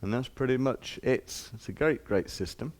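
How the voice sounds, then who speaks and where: A man's voice: medium pitch, moderate pace, darkish tone. A man giving an informal hands-on demonstration as a shop presenter, explaining step by step in plain, casual words.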